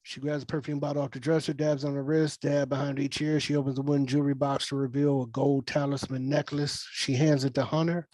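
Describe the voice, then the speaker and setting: Casting: male table-read leader, steady middle pitch with little swing, informal and reassuring. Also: a little fast, few pauses, no echo. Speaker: table read organizer and writer, narrating action. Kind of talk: casual directing talk and script reading. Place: dry close room, virtual call. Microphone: video call.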